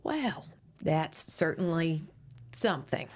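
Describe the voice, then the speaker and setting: A woman reading the part of a conservative middle-aged mother who hosts a talk radio show, brisk and prying. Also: sits low, big pitch swings, darkish tone, exaggerated voice. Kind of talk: table read.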